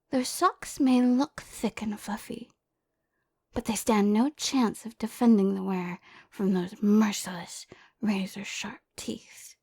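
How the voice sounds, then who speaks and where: A woman acting as a grave nature documentary narrator speaks in a hushed, breathy murmur. The delivery is slow and grandly suspenseful, with a creaky edge, as parody for an audio roleplay. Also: medium pitch, exaggerated voice.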